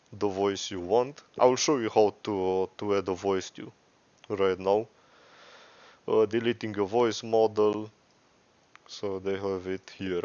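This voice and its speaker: A man with a fairly low voice presents an off-the-cuff screen-share tutorial. He talks slowly and rambles, correcting himself and cursing casually. The sound is close, dry and somewhat lo-fi. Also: a little mellow, no room sound. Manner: rambling, profane, self-correcting.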